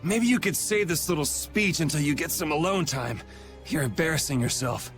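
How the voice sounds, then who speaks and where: A man's voice: a little high, normal speed, faint music behind, natural voice. A man voicing a brash, sardonic young swordsman hero in a video game, blunt in manner. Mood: scornful. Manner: blunt, sarcastic.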